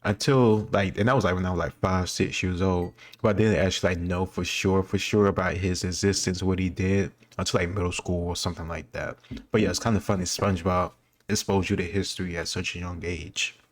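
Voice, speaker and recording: A man's voice: sits low, steady tone, fairly quick. A man giving casual commentary on a history quiz, thinking aloud in an informal, rambling way. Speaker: host reacting to a history quiz video.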